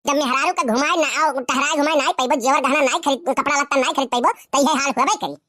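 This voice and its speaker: Very high-pitched, bright, Awadhi accent, cheeky, scolding, argumentative cartoon villager. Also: steady tone, fast, dry recording, clean studio sound. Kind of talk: Awadhi cartoon comedy voice acting.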